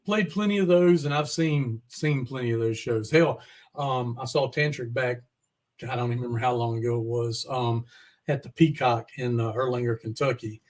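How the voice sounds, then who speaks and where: Male podcast host, medium pitch, informal and conversational, loose slangy phrasing with occasional mild cursing. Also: moderate pace. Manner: informal, mild swearing, y'all. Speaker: podcast host interviewing a musician. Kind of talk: casual chat.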